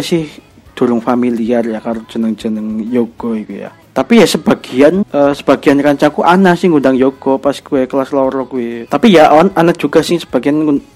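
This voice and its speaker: A man's voice: medium pitch, darkish tone. A young man from Cilacap in Central Java with a Banyumasan Ngapak Javanese accent, hosting a casual solo podcast in a rambling, colloquial, hesitant way. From Cilacap, Central Java, Indonesia. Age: young adult. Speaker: podcast host.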